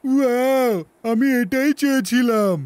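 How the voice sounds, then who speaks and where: An actor voicing an eager, impatient child with a sweet tooth in a children's cartoon, in an excitable, expressive manner. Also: high voice, a little slow, clean tone, no echo. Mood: excited. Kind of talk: children's animated cartoon dubbing.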